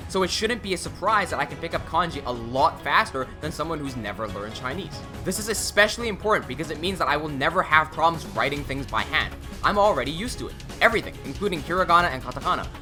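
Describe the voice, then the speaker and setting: Young man, native English and Cantonese speaker, chatty self-mocking vlogger, animated delivery. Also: a little high. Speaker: language-learning YouTuber. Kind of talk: casual vlog narration.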